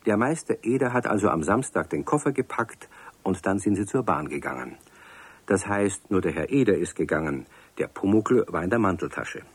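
A male storyteller with a deep, husky voice. He speaks with calm, measured pacing, dry and close to the mic, like a children's radio play narrator. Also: no echo.

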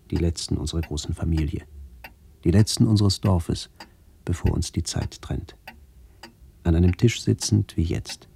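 An actor playing a melancholy man recalling his family and lost village in a measured, reflective radio play narration. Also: low voice, creaky, warm tone, a little hiss or hum.

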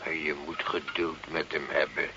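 A man's voice: very deep voice, little pitch movement, normal speed. An actor playing a kindly, patient village priest in a radio drama, speaking in a hesitant, fatherly, pious manner. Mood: soothing. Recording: dull, lightly hissy old radio recording.

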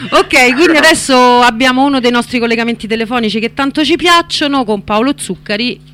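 A woman's voice: fairly high pitch, fairly quick, crowd noise. A woman hosting a community radio show, announcing the next segment in a chatty, self-mocking way. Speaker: radio host.

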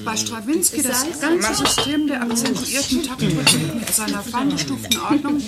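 High-voiced, opinionated woman intellectual, played by an actress. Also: normal speed, lightly acted. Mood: pompous. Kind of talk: naturalistic radio drama dialogue. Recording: loud music and clattering dishes behind. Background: music and clinking tableware.

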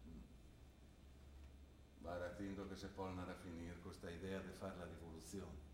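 A man's voice: low voice, flat delivery, normal speed, lightly acted. An actor playing an older, fussy father from the provinces who speaks with a Trentino dialect, in a stage play. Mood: grumbling. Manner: blunt, grumbling, dialect-heavy talk. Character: older provincial father visiting his daughter, gruff and fussy. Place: theatre stage. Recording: echoey stage sound from a distance with faint noise.

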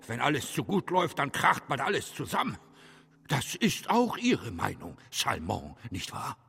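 Cynical speculator, extremely raspy, sharp edge, contemptuous, radio drama, reverberant hall. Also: male voice, mid-range voice, big pitch swings, a little slow, full character voice. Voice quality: rough, creaky, husky. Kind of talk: German radio drama acting.

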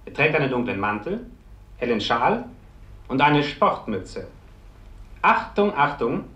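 A man's voice: mid-range voice, very animated, fairly bright tone. A man playing a formal news announcer who gives urgent official warnings, in a 1950s radio play. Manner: emphatic, measured official announcing. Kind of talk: 1950s radio bulletin acting. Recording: echoey vintage radio recording.

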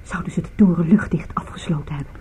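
An actress playing an anxious but composed woman in danger in a radio play, questioning in a hushed, tense way. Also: fairly low pitch, fast, in a whisper, close to an everyday voice. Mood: hushed, afraid.

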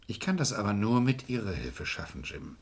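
An actor playing a shrewd, calculating but courteous man in a German crime radio play, speaking in a measured, persuasive way. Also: fairly low pitch, sing-song. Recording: vintage radio play recording.

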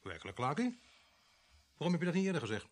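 Grumbling, hesitant police sergeant, husky. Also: male voice, fairly high pitch, huge pitch swings, quick pace. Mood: skeptical, grumbling. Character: grumbling, hesitant male village police sergeant, easily sidetracked. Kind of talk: naturalistic radio play acting.

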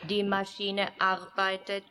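A woman's voice: mid-range voice, monotone, takes it slowly, sharp tone, a little hiss or hum. An actress playing a newly created artificial young woman in a science-fiction radio play, reciting short statements like a naive machine. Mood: mechanical, blank.

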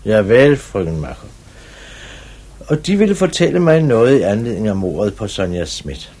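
An actor playing a brisk, probing police commissioner in a 1950s radio drama, with clipped, authoritative questioning. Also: male voice, on the low side, very animated, darkish tone, slightly rough, full character voice. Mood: brisk, official.

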